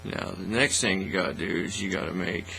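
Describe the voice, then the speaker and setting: A middle-aged man giving a casual, folksy, unhurried how-to demonstration at an indoor hobby bench. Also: low voice, gravelly, sharp tone. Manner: folksy, unhurried step-by-step talk. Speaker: hobby craft tutorial host. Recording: faint mains hum and noise.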